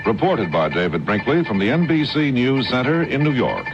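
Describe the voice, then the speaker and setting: American guy doing formal tv news intros, very animated pitch, music under him, old broadcast. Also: normal speed, muffled recording. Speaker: television news announcer.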